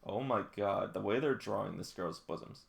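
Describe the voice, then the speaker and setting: A read-along host giving casual, excitable and amused reactions to a comic. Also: low-pitched, normal speed, vocal fry. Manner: excitable, amused, gasping and exclaiming.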